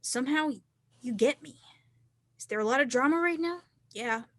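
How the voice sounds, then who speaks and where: A woman's voice: sits high, moderate pace, a bit bright. A woman playing a sarcastic, defiant young snowboarder with a tender side at a screenplay table read. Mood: tender, wry.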